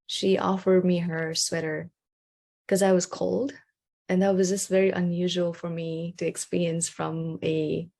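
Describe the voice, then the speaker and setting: A woman's voice: lowish voice, vocal fry, dark tone. A young woman from Nepal, a graduate student talking casually and hesitantly in an online session, with many ums.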